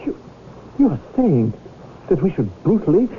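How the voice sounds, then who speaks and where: Pompous fussy man, very animated pleading, old radio drama, thin noisy sound. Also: high-pitched, moderate pace. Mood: wounded, reproachful. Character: fussy, indignant, melodramatic male whale owner. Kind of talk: 1970s radio drama acting. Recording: noisy, tinny 1970s radio broadcast.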